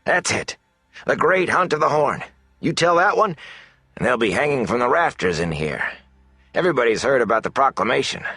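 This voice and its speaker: A chatty, excitable tavern keeper in a fantasy audiobook, acted by a male narrator. His pitch is lifted and leaps around as he gossips in a folksy, exclamatory way, with music in the recording. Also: normal speed, slightly rough, dry recording, full character voice. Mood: delighted. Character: chatty, excitable, wary middle-aged male innkeeper with thinning hair. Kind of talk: fantasy audiobook character dialogue.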